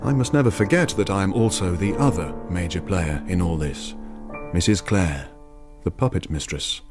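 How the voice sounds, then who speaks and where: A male actor gives a wry, knowing narration for a radio drama in a deep voice. The phrasing is literary and scripted. Music plays clearly underneath. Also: moderate pace, close to an everyday voice. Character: wry puppet-master narrator voicing the story's players.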